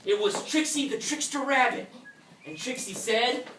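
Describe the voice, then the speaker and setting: A woman hosting a live, interactive story for children in an animated, folksy, exclamatory way. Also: on the high side, moderate pace, slight background noise. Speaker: puppet show host and storyteller. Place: indoor room with an audience. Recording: echoey room, distant mic, music.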